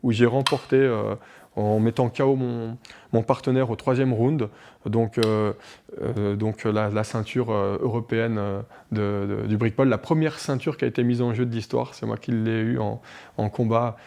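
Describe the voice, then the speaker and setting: A man in his forties from Switzerland, a martial arts instructor talking in a local TV interview, animated and restarting often with many fillers. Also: lowish voice, moderate pace, vocal fry. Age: 40s.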